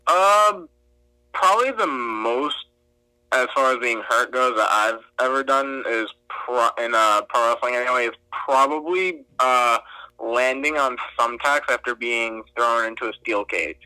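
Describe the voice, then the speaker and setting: Young male referee guest, crisp tone, talks loosely with constant fillers. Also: mid-range voice, measured pace, bright, forceful tone, no echo, rough recording. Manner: chatty, many fillers like "like". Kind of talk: casual interview answers.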